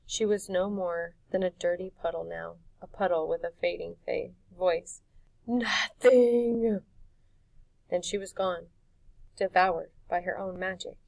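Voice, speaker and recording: A woman reading a storybook aloud as an audiobook narrator, in an expressive storytelling style. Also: fairly low pitch, moderate pace, vocal fry, dry recording, distant mic, poor audio. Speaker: audiobook reader.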